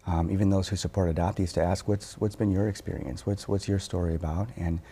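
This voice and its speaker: A man, a therapist and author, speaking softly and reflectively as a TV interview guest, pausing often with fillers. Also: deep voice, steady tone, slightly rough. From born in Korea, raised in Wisconsin. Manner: soft-spoken, reflective, halting with fillers.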